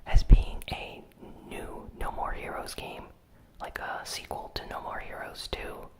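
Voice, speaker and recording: A host giving a whispered ASMR recap of gaming news, in a soft unvoiced whisper with relaxed, casual asides. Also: rough recording. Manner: unhurried, with frequent "you know" and "uh" fillers.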